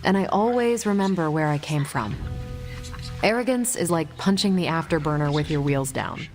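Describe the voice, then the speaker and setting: An actress playing a confident, easygoing American superhero in a video game, with a wry, casual manner. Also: female voice, sits low, normal speed, a little mellow, soft background music, lightly acted. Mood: proud, playful. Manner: wry, casual, self-assured. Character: confident, easygoing superhero woman, ex-Air Force pilot. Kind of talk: video game dialogue acting.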